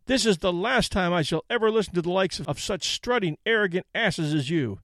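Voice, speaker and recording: A man's voice: high voice, animated, a little fast, fairly bright tone, no room sound, clean studio sound. A man voicing a furious male general, commanding and outraged, who curses and roars indignantly. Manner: roaring, cursing, indignant.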